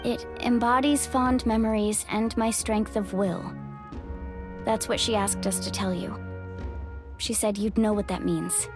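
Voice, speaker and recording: A woman's voice: mid-range voice, fairly even intonation, measured pace, lightly acted. A woman voicing a devoted young female ally in a game, speaking sincerely and eagerly. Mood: earnest. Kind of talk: game voice acting. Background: game music.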